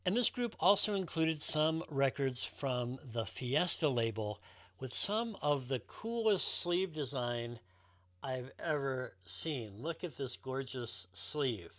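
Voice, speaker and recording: A man's voice: medium pitch, expressive, takes it slowly, fairly bright tone, dry recording, faint music behind. A man talking casually and enthusiastically to viewers as a collector presenting his show.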